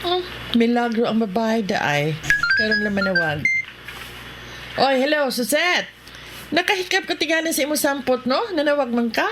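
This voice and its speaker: An actress with a Visayan Filipino accent playing an impatient, suspicious wife pressing for news in a radio drama. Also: female voice, fairly high pitch, lively intonation, moderate pace, pauses often, heavy background noise, natural voice. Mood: teasing. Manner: teasing, pushy questioning.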